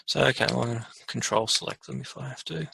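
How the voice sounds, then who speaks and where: A man presenting an informal, unscripted software tutorial, muttering asides to himself as he works. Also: mid-range voice, fast talker, creaky, breathy edge, dry recording. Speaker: webinar presenter.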